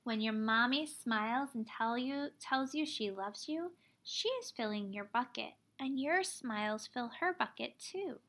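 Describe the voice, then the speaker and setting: A woman in her thirties reading a children's picture book aloud like a teacher, expressively, pausing to explain things simply for young children. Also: on the high side, fairly bright tone. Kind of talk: children's storybook read-aloud.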